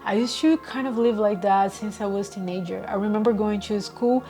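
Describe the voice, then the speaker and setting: Mellow-voiced woman vlogging gently. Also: mid-range voice, fairly even intonation, normal speed, soft background music. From Brazil. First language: Portuguese. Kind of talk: casual reflective monologue.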